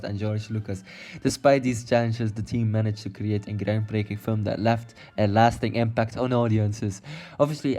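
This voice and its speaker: Rambling Belgian-accented man, low voice. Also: a little mellow. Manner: rambling, many fillers and restarts, laughs often. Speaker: YouTube commentator. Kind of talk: casual video commentary partly read from notes.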